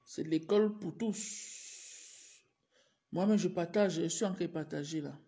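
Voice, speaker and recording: Slow-talking woman with a cold. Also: on the low side, warm tone. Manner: repetitive, urging viewers over and over. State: has a cold, clears throat and coughs. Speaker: teacher livestreaming to followers. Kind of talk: casual live-stream address.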